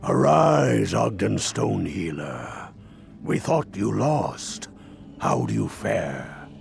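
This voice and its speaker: A man's voice: lowish voice, big pitch swings, slow, vocal fry, heavily acted. An actor playing a solemn, dignified dwarf king who speaks in a stately, commanding way in a fantasy game. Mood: grave, commanding. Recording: game cinematic with music behind.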